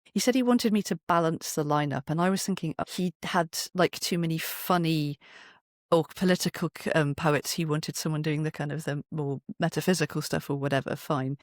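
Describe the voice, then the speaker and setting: Expressive British podcast guest in conversation. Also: medium pitch, fairly quick, no room sound, clean studio sound. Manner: expressive, acts out imagined quotes. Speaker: podcast guest, writer and poet. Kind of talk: animated interview conversation.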